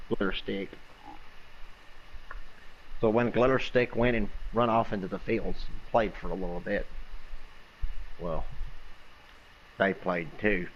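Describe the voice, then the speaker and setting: A man telling an improvised comic bedtime story in a casual, halting way, often repeating and rephrasing himself. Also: lowish voice, steady tone. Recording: dull lo-fi audio with faint noise.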